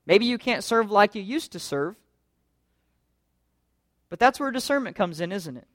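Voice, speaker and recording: A man with a fairly high voice preaches to his congregation, earnest and conversational, asking question after question. The sound is close and dry, with no room around it. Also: expressive, normal speed, a bit bright, no echo. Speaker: pastor. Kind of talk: sermon.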